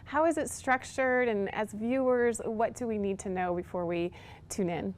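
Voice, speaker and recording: An upbeat woman hosting a TV interview, putting prepared questions in a measured way. Her voice sits somewhat high. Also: darkish tone.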